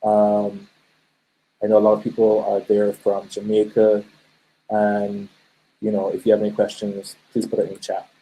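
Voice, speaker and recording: Barbadian man, middle-aged, webinar presenter, relaxed mellow tone, lowish voice, chatty with fillers, computer mic. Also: moderate pace, low-quality recording. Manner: conversational, storytelling with many fillers. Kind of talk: live online presentation with chat questions.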